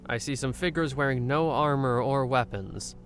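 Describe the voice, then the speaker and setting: A man's voice: mid-range voice, moderate pace, fairly bright tone, soft background music, close to an everyday voice. A man playing an alert, commanding squad soldier in an audio story, speaking in a terse, urgent way.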